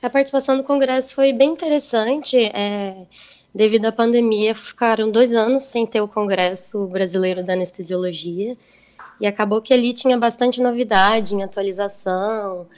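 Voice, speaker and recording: A woman's voice: mid-range voice, fairly even intonation, moderate pace, a little mellow, clean tone, muffled recording. A young woman from central Brazil gives informal interview answers as a guest over a remote connection. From Goiás, central Brazil. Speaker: medical resident, interview guest. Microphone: remote call.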